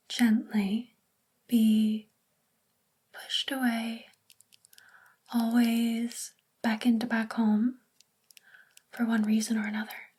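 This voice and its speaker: Woman playing a whispering sweet witch librarian. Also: a little high. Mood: gentle, hushed. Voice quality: breathy, whispery soft voice. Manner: gentle, soothing, unhurried. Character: sweet, caring young witch librarian, gentle and bookish. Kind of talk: soft spoken ASMR roleplay.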